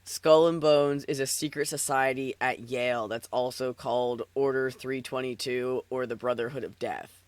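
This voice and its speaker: A man with a mid-pitched voice hosts a casual reading stream. He is playful and swears easily, moving between banter and story narration. The sound is dry and close. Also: fairly even intonation, normal speed, clear, resonant tone, dry recording. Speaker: streamer reading fan fiction aloud.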